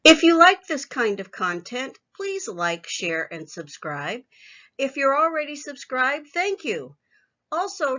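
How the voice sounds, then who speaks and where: Bright-voiced woman narrating, slightly dull recording. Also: mid-range voice. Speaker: video channel host. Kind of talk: scripted video outro narration.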